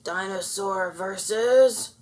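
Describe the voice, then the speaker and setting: A woman narrating a picture book aloud for children in an expressive, performed style. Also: mid-range voice, slow. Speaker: storybook reader.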